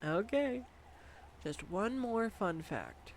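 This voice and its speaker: A woman voicing an excitable, nerdy young girlfriend who rambles enthusiastically with giggles in a scripted audio roleplay, recorded on a condenser microphone. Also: mid-range voice, takes it slowly, a little hiss or hum. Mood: tender. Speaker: voice actor. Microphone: USB condenser microphone.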